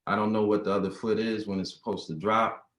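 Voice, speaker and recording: A man speaking on a panel discussion, reflective and hesitant, pausing often. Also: on the low side, fairly even intonation, fairly bright tone. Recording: echoey room.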